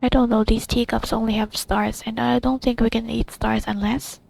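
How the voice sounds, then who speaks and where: A woman's voice: a little high, little pitch movement, moderate pace, gravelly, darkish tone, low-quality recording. A woman giving casual, giggly, offhand commentary while playing a video game. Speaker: gamer recording her playthrough. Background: faint game music.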